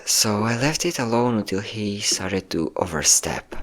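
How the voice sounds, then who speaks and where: A man's voice: on the low side, lively intonation, a little slow, a bit bright. A man playing a possessive, manipulative yandere ex-boyfriend in a scripted audio roleplay, speaking in a coaxing, menacing way. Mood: coaxing, controlled. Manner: coaxing, menacing, calling listener pet names, nervous chuckles. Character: possessive, manipulative yandere ex-boyfriend hunting his hiding lover.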